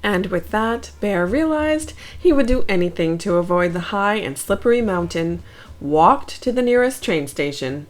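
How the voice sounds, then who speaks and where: A woman narrating a children's picture book aloud in an expressive storytelling style. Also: mid-range voice, moderate pace. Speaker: storybook narrator, channel host.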